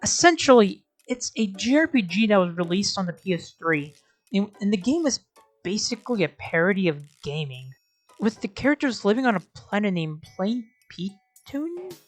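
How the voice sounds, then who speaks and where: A woman's voice: medium pitch, very animated, moderate pace, no echo, faint music behind. A woman hosting a video essay, giving casual scripted commentary with wry, sarcastic asides and informal, sweary phrasing.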